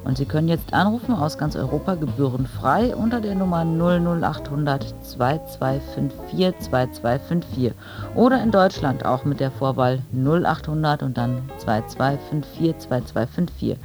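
A woman's voice: low-pitched, lively intonation, normal speed, music in the background. A woman hosting a radio phone-in, giving a scripted introduction and putting questions directly to listeners. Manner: rhetorical, addresses listeners directly.

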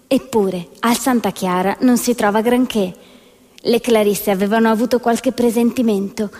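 A woman's voice: on the high side, dark tone. Woman actress telling a story on stage, emphatic and theatrical, lots of hall reverb. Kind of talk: theatrical scripted narration, satirical.

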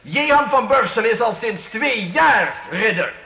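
A jovial lord of the court, high-voiced and swooping widely in pitch. He speaks with stately formality and command in a roomy radio play recording. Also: lively intonation, a little slow, gravelly, pushed and bright, exaggerated voice. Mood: stern, commanding. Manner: stately, formal royal-we phrasing. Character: jovial, commanding medieval count holding court. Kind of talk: radio play acting for youth.

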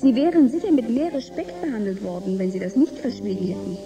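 A woman's voice: fairly high pitch, fast, creaky, over music. An actress playing a disillusioned, sardonic seventeen-year-old girl in a German science fiction radio drama. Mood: sceptical, curious.

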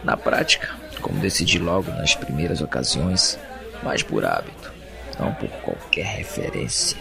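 A man with a Brazilian Portuguese accent playing an anguished captive in an audio drama, narrating his imprisonment in a brooding, deliberate monologue. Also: very deep voice, big pitch swings, creaky, exaggerated voice. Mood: weary, resigned. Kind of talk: dramatic first-person monologue. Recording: music bed under the voice.

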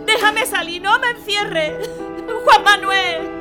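High-pitched desperate woman crying out in a drama. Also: takes it slowly. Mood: desperate, pleading. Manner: crying out, pleading. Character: desperate working-class woman pleading and defiant. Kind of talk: audio drama acting. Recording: loud music and crowd behind.

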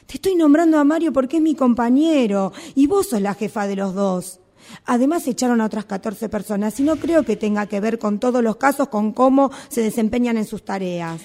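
A woman's voice: fairly high pitch, animated, a little fast, mellow. A woman with a Rioplatense accent playing an indignant employee who confronts her boss with insistent questions, in amateur radio theatre. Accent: Rioplatense Argentine Spanish.

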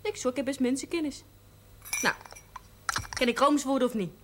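A young woman with a Dutch accent playing a brash, quick-tongued miner's daughter in a 1970s TV drama. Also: sits high, background noise, lightly acted. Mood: cheeky.

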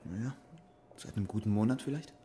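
An actor playing a young soldier, cunning and coolly defiant, speaking in a controlled, formal, evasive way in a radio crime drama. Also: male voice, on the low side, fast talker, warm tone, a little hiss or hum, lightly acted. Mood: dry, knowing. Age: young adult.

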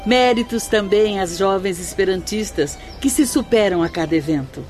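A woman's voice: mid-range voice, expressive, moderate pace, darkish tone, lightly acted. An actress playing a serene, devout female spirit guide in a radio drama, formal and reverent. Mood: gracious. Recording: faint music under the voice.

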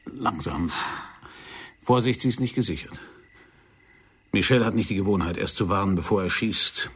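An actor playing a controlled, commanding secret agent who coaches someone in a measured, insistent way in a radio play. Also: male voice, deep voice, slightly rough. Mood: intense, quiet.

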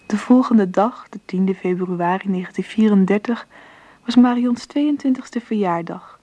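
A woman narrating a radio drama in a calm, measured way. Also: medium pitch, no room sound, lightly acted. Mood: reflective.

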